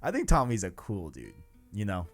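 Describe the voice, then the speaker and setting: A man's voice: fairly low pitch, huge pitch swings, moderate pace. A man hosting a casual video game discussion, enthusiastic and slangy, often repeating himself for emphasis. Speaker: podcast host. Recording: music playing behind the voice.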